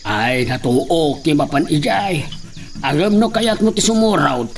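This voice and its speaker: A man's voice: fairly high pitch, lively intonation, measured pace, creaky. Man acting Ilocano country father, faint background noise. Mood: patient, chatty. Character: rural Ilocano father talking with his young daughter. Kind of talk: radio drama acting.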